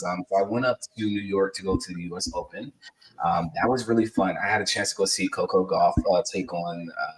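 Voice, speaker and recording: A man's voice: on the low side, recorded from a distance, poor audio. A man in his thirties co-hosting a sports podcast, telling stories in a rambling way with lots of fillers. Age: 30s. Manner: rambling, filler-heavy storytelling. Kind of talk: casual podcast chat.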